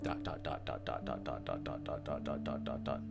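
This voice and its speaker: A man's voice: very deep voice, moderate pace, gravelly, loud music behind the voice. A man reading out scripted narration of a visual novel in a dramatic reading.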